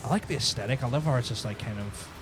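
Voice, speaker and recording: Brief: male podcast co-host, rapid casual banter, trailer music faint underneath. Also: medium pitch. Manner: quick, excitable, swears freely. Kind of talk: casual chat reacting to game trailers.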